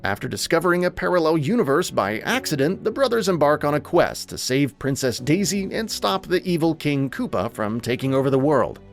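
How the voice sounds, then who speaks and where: Lively, higher-pitched male countdown narrator. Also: brisk, few pauses, faint music behind. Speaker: narrator of a film countdown video. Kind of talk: scripted narration.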